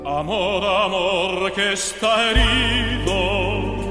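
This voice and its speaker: A woman singing a slow, theatrical lament. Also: mid-range voice, fairly even intonation. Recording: long hall reverb with music.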